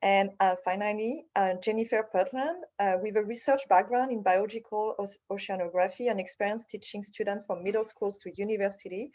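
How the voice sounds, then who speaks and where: A woman with a French accent hosts an online session in a medium-pitched voice. Her delivery is a little halting, and the call audio sounds slightly muffled. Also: a little mellow. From France. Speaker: session facilitator, program manager. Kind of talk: welcoming introduction to an online session. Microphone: video call.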